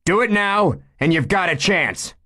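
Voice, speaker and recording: A man voicing a steady male police chief who gives firm, level orders. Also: mid-range voice, moderate pace, voice pushed up, close to an everyday voice. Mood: commanding.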